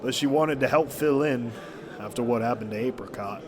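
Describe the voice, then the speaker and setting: A man's voice: mid-range voice, normal speed, a crowd in the background, lightly acted. A man playing a weary, grumbling but kindly pony shop worker in casual audio drama dialogue.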